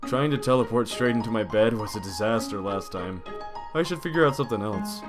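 A man's voice: on the low side, a little fast, close to an everyday voice. A man voicing a drowsy, joking skeleton in a cartoon comic dub, casual and wry. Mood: weary. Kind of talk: comic dub character acting. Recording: loud background music.

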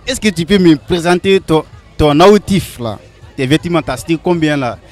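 A young man interviewing people in the street, teasing them with quick-fire questions, recorded on a handheld mic outdoors in a city square. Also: on the high side, animated, soft background music.

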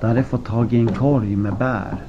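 Low-voiced man narrating, muffled noisy recording. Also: fairly even intonation. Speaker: audiobook narrator. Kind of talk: scripted story narration. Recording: muffled lo-fi with clear background noise.

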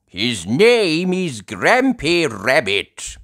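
An earnest, grumbling old grandfather pig from a cartoon storybook, put on by a man. He speaks very slowly and emphatically, with the pitch lifted and swinging widely. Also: wildly swooping pitch, a bit bright, no echo, clean studio sound, exaggerated voice. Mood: indignant.

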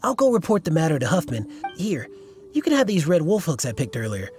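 A man's voice: fairly high pitch, huge pitch swings, brisk, a little mellow, faint music behind. A man voicing a devoted, fretful young fan club leader in a video game, speaking earnestly and dramatically, with some hesitation. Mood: resigned, worried. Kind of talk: video game character dialogue.

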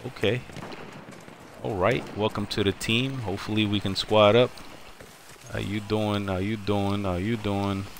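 A man's voice: on the low side, little pitch movement, a little slow, pauses often, noisy background. A man commenting on a live shooter game, chatty and full of wiseguy catchphrases, greeting teammates and viewers by name. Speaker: game streamer.

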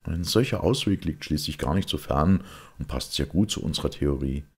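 A man's voice: extremely low pitch, lively intonation, normal speed, breathy edge, pitched above the performer's own voice, exaggerated voice. A man voicing an uneasy, impatient companion of a detective, agitated and questioning, in an audiobook story. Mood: puzzled, eager.

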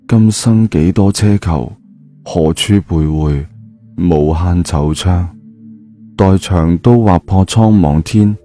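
A man's voice: deep voice, mellow. A man narrating an audiobook in a dramatic, colloquial storytelling style. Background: music.

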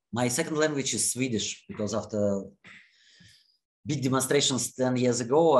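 Russian-accented man, unhurried, picks his words carefully, average pitch, interview guest. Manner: deliberate, searching for words, repeats and restarts. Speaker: interviewee, anti-war activist. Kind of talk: long answers in an interview.

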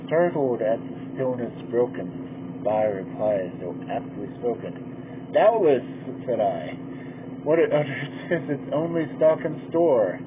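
Slow dramatic male reader, tinny audio. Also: mid-range voice. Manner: slow, theatrical delivery that swells loud in the narrator's pleas. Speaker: poetry reader. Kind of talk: dramatic poem reading. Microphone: poor-quality mic. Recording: tinny phone-like sound with loud hum.

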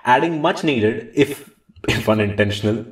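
A man's voice: medium pitch, lively intonation, normal speed, recorded from a distance, noisy background, natural voice. A man acting a mocking ghost of bad theatre reviews in a radio play, pompously reciting his verdicts. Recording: echoey room, off the mic.

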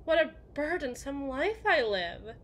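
A woman's voice: high-pitched, big pitch swings, a little slow, fairly bright tone, a little hiss or hum, heavily acted. A woman playing a melancholy, guilt-ridden evil queen in an ASMR roleplay monologue, speaking in formal, introspective phrases to someone she loves. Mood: bitter, self-loathing.

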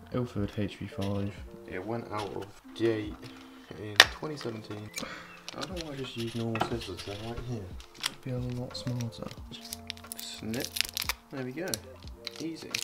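A young man with a croaky, just-woken voice, rambling casually through a home vlog with lots of restarts. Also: medium pitch, measured pace, over loud music. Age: young adult. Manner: rambling, self-deprecating, restarts a lot. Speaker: film photography vlogger. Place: small home office room. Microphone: desk mic in front.

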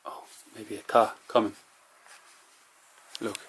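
Slow, low-voiced man exploring quietly. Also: flat delivery, noisy background. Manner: hushed, musing, non-native English phrasing. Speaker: urban explorer vlogger. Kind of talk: casual narration while exploring. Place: indoors in an abandoned house.

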